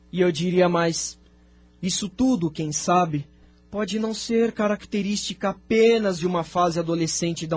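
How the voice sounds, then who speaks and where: A man with a Brazilian accent playing a venerable, thoughtful spirit sage in an audio drama, speaking in a measured, formal, declamatory way. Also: high voice, darkish tone. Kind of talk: scripted audio drama dialogue.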